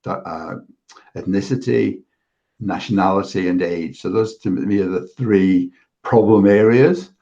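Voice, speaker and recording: A man's voice: deep voice, a little slow, dark tone, dull audio. A man speaking as a guest in a casual discussion, earnest and often restarting phrases. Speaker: guest, STEM ambassador.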